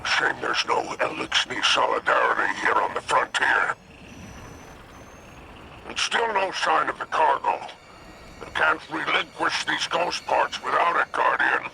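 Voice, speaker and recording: Gravelly, theatrical alien salvage broker. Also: male voice, on the high side, expressive, a little slow, background noise, full character voice. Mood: theatrical, grumbling. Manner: florid, grandiose, smooth-talking dealmaker phrasing. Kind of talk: video game character acting. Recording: loud music behind the voice. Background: loud game music.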